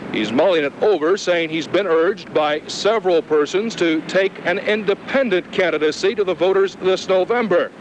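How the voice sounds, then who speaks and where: A man's voice: normal speed, few pauses. A man delivering a television news report as a field reporter, recorded on location. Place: on location at a county courthouse. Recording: noisy location sound, rumble.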